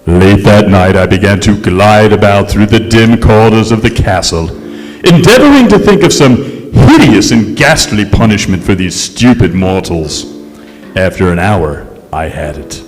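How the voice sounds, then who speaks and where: An actor playing a vain, indignant centuries-old ghost, narrating in a pompous, melodramatic old-time radio style. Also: male voice, fairly low pitch, lively intonation, normal speed, soft background music, close to an everyday voice. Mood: gloating, theatrical. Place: live stage in a courthouse museum. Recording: echoey hall stage recording.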